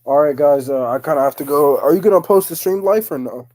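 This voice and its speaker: A man's voice: on the high side, fast, darkish tone. A nineteen-year-old man chatting casually and informally on an online group call. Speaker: student chat participant. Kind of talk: casual chat.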